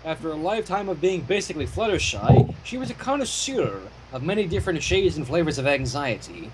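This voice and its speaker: A man reading fan fiction aloud in an expressive way, with casual asides. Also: fairly high pitch, brisk. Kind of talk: story narration with casual commentary. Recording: home microphone with clear noise.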